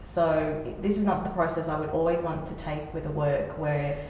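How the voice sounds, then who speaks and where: A woman's voice: deep voice, steady tone, moderate pace, a little mellow. Woman, distant in an echoey room. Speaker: playwright being interviewed. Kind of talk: reflective interview talk. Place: reverberant indoor room. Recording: very echoey room, distant mic, faint noise.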